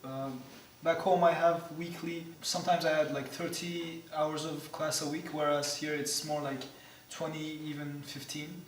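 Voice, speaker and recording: A man's voice: a little high, level tone, a little slow, a little hiss or hum. A young man with a Turkish accent, an exchange student answering interview questions hesitantly, with many fillers. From Turkey. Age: young adult. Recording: echoey room, mic at a distance.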